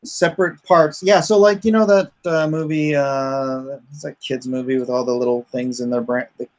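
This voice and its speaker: A man in a casual unscripted chat, explaining his creative project in a halting way as he searches for words. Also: medium pitch, fairly even intonation, a little mellow.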